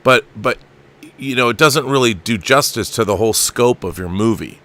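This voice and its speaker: A man's voice: medium pitch, lively intonation, normal speed, a bit bright. A middle-aged man hosting an interview in an enthusiastic, emphatic and effusive way. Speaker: show host.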